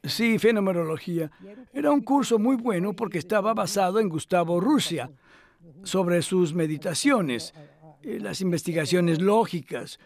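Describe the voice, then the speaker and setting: A man's voice: sits high, lively intonation, moderate pace. A male actor dubbing a cultured older scholar who speaks reflectively and hesitantly in a conversational interview. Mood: reminiscing. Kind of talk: voice-over dub of a conversational interview.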